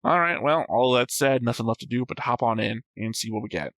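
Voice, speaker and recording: A man's voice: mid-range voice, fairly quick, fairly bright tone. A man hosting a reaction show in a casual, conversational style. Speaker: host of an anime reaction show.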